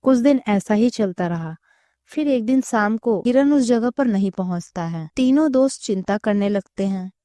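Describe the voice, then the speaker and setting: Mellow-voiced woman telling children's stories. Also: medium pitch, animated, moderate pace, no room sound. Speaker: children's storyteller. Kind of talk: scripted story narration.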